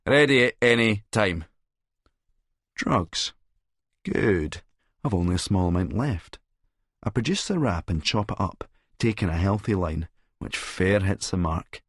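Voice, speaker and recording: A man's voice: low voice, animated, a little slow, long pauses, husky, dry recording, clean studio sound. A man with a Scottish accent narrates an audiobook dramatically in the first person, in a sardonic, sneering manner.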